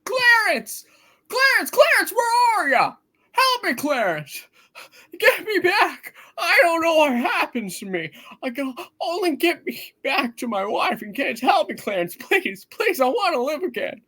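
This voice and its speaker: A woman's voice: very high-pitched, very animated, a little slow, bright, forceful tone, heavily acted. A woman playing a distraught, desperate family man in a hammed-up radio play reading. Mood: frantic despair.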